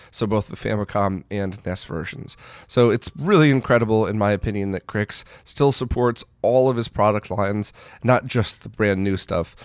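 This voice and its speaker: A man's voice: medium pitch, no echo, clean studio sound. A man hosting a retro gaming news roundup in an informal, conversational and opinionated way. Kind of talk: casual news roundup commentary.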